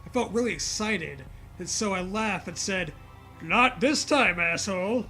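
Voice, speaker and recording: A man with a high, very bright voice reads a story aloud as a host. His delivery is dramatic and slips into crude, joking chat. Faint music plays behind him. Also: a little slow, gravelly, poor audio. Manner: profane, jokey, animated reactions.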